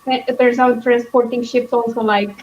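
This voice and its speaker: High-voiced woman, hesitant book chat. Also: little pitch movement, normal speed, a little mellow, dull audio, slight background noise. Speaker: book club host. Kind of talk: casual book discussion.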